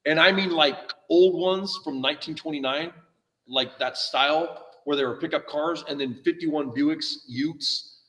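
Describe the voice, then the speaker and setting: A man's voice: a little high, measured pace, sharp tone, big-room sound, far from the mic, rough recording. A man co-hosting a casual live car show who tells stories with laughter and imitates how other people talk. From California. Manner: laughs while telling stories, mimics others' speech. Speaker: co-host. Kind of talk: casual chat.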